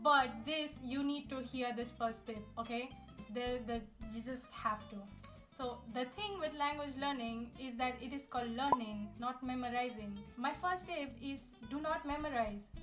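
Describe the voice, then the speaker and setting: A woman's voice: high-pitched, normal speed, a bit bright. A young woman, chatting upbeat language-learning tips straight to her viewers. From India. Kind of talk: casual tips video to camera. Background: guitar music.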